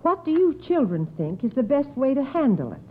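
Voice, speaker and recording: A woman's voice: high voice, big pitch swings, brisk, slightly rough, narrow, tinny audio. A woman hosting a 1950s radio quiz for children, encouraging and acknowledging each answer, on an old radio recording. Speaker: quiz show host.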